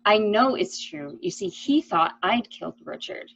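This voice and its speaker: Woman acting an anxious, husky-voiced lady. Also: mid-range voice, sing-song, a little slow, fairly bright tone, highs cut off, full character voice. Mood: urgent, pleading. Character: anxious, pleading woman caught in a murder mystery. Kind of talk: amateur radio-play acting.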